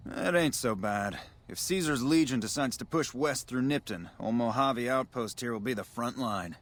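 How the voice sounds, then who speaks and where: A man's voice: mid-range voice, expressive, moderate pace, lightly acted. Man acting a weary, businesslike army major. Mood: matter-of-fact, weary. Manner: matter-of-fact, businesslike. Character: weary male army major logging caravans at a desert outpost. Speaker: border outpost officer in a video game. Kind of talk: video game dialogue acting.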